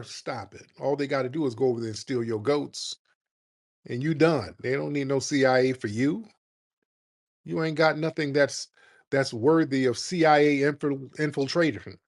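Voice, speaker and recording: A man hosting a live call-in show, combative, mocking and animated. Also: mid-range voice. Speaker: host.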